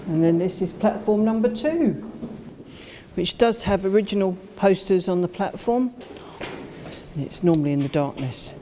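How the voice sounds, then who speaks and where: A middle-aged woman giving an informal guided tour, explaining as she walks, with slightly halting phrasing. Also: mid-range voice, lively intonation, dark tone, slight background noise. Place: indoors in disused underground station, tunnels and train carriage.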